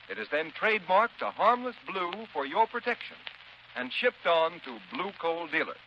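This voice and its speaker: A man announcing a scripted 1930s radio commercial, formal and emphatic with careful enunciation. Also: fairly high pitch. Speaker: radio announcer and sponsor pitchman. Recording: muffled, hissy 1930s radio recording.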